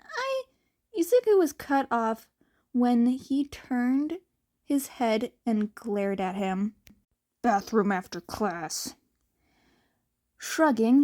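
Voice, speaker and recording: A woman's voice: fairly high pitch, mellow. A woman narrating fan fiction as an amateur audiobook reader.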